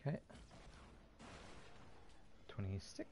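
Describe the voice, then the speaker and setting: A man's voice: slow pace, background noise. A man giving casual gameplay commentary as a streamer, half muttering to himself in a self-deprecating way. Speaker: gaming streamer. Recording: faint game sound behind voice.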